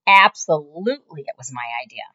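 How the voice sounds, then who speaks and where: A woman voicing a brash, boastful middle-aged man who runs the place, talking loudly, on a muffled lo-fi recording. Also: low-pitched, lively intonation, a little fast, exaggerated voice. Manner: loud, boastful.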